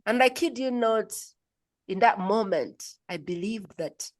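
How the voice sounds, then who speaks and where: A woman's voice: mid-range voice, lively intonation, a little slow. Woman podcast host from Uganda, emphatic storyteller, interview chat.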